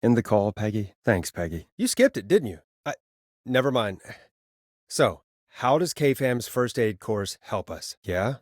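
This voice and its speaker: A man playing a steady, weary radio host who guides callers through an emergency in a video game, speaking in an earnest, conversational, slightly hesitant way. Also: lowish voice, measured pace, no room sound, clean studio sound. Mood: weary, exasperated. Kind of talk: naturalistic video game voice acting.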